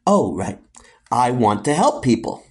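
A man's voice: medium pitch, big pitch swings, a little slow, husky. A man playing a sheepish, attention-starved colonel in a children's audio comedy, self-important yet hesitant.

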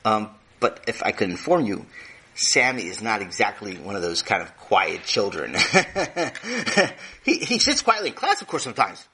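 An eager, chatty teacher gushes and rambles on, as played by a male storyteller. His husky, bright voice leaps around with animated enthusiasm. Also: lowish voice, normal speed, exaggerated voice. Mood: lecturing. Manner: effusive, rambling, talks over others.

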